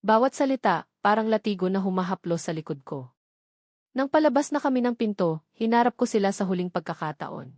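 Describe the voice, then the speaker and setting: A woman's voice: medium pitch, animated, fairly quick, a little mellow, no echo, clean studio sound. A woman giving an emotional, melodramatic first-person narration of a scripted drama story.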